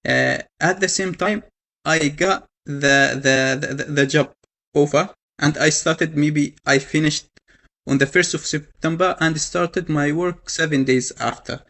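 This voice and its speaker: A young man from Yemen telling his own story to an audience, halting, with many fillers and restarts. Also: fairly high pitch, steady tone, vocal fry, pushed and bright. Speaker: panel speaker. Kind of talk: personal story told to an audience.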